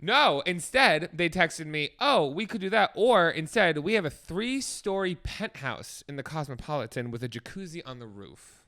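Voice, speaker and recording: A young man co-hosting a podcast, telling stories in an animated, laughing way. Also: fairly high pitch, normal speed. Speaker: podcast co-host. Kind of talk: casual chat.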